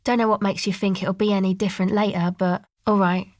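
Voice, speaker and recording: A woman's voice: mid-range voice, flat delivery, a little fast, a little mellow, no room sound, close to an everyday voice. An actress playing a guarded, laid-back young woman who leads a streetwise crew in a video game, speaking casually with dry understatement. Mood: guarded, cool. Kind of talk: video game dialogue acting.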